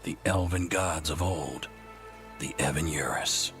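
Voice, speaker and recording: A very low-voiced, grim elven scout leader from a fantasy game. He talks with dry understatement over a music bed. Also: a little slow, a bit bright, heavily acted. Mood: grim, wry. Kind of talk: dramatic game dialogue.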